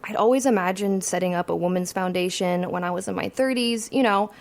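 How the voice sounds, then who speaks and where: A woman's voice: medium pitch, a little fast, creaky, lightly acted. A young woman playing an ambitious finance student in an audio drama, talking in a dry, self-mocking way. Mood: wry. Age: young adult.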